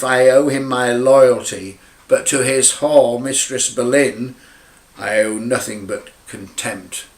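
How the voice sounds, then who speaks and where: A man reads a poem aloud as a dramatic monologue. His delivery is slow, solemn and declamatory, set out in short measured phrases. The recording is roomy, with a clear echo around the voice. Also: mid-range voice. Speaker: poet reading own poem. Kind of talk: recited dramatic monologue in rhyming verse. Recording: roomy, echoing amateur recording.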